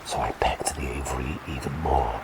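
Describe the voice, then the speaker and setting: A man voicing a quirky male talking bird plague doctor, telling rambling bedtime stories in a soft ASMR whisper. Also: moderate pace, heavy background noise. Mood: gentle whisper. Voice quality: deep creaky whisper. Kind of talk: ASMR roleplay bedtime storytelling.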